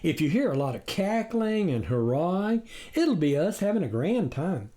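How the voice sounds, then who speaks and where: A man's voice: high voice, big pitch swings, moderate pace, warm tone, pitched above the performer's own voice. A man voicing a sly storybook coyote who passes himself off as a duck with glib, folksy sales patter. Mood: sly, reassuring. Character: sly male coyote posing as a vegan duck. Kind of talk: storybook character reading.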